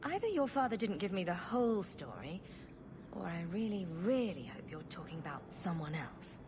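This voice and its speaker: An actress playing a confident, dry and sarcastic adventuring heroine in a fantasy game. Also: female voice, fairly low pitch, sing-song, moderate pace. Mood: sarcastic, flippant. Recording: clear background noise.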